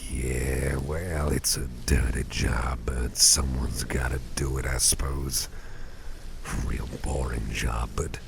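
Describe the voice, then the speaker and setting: A man speaks in a rock-bottom, gravelly register, slipping softly into breathy whispers. He plays a caring boss in an intimate ASMR roleplay, gentle and folksy, taking his time. He is close to the microphone, with clear noise behind him. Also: very deep voice, steady tone. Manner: gentle, soothing, folksy, unhurried. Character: caring, doting male boss soothing a sleepy employee.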